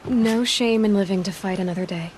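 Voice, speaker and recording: A woman's voice: mid-range voice, fairly quick, a little mellow, slight background noise, lightly acted, poor audio. Plain-spoken young huntress played by a woman. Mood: direct, reassuring. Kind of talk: video game dialogue acting.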